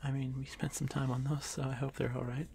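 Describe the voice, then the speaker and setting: Male actor as timid young drider for fantasy ASMR: very creaky, soft, halting delivery, lonely and apologetic, audible noise behind. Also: medium pitch, level tone, natural voice. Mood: nervous, apologetic. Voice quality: gravelly, creaky. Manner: hesitant, stammering, soft-spoken, often dropping to whisper. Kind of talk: ASMR fantasy roleplay addressed to the listener.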